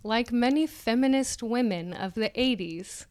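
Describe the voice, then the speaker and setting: A woman's voice: on the high side, measured pace, a little mellow. A woman co-hosting a podcast, reading an essay aloud in a theatrical way and breaking off into casual banter. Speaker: podcast co-host.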